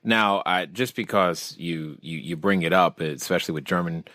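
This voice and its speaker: A man's voice: sits low, normal speed, bright, forceful tone, no room sound. A man hosting an interview podcast, chatting in a conversational way and laughing easily. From grew up in a military family. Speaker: podcast host.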